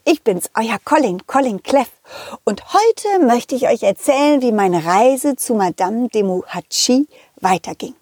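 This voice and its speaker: A woman voicing a cheerful, excitable, loyal dog who tells stories to children in a playful, chatty way. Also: on the high side, breathy edge, no echo, clean studio sound, heavily acted. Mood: cheerful, welcoming. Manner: playful, chatty, giggly, addressing children directly. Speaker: puppet character host. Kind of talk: children's audio story narration.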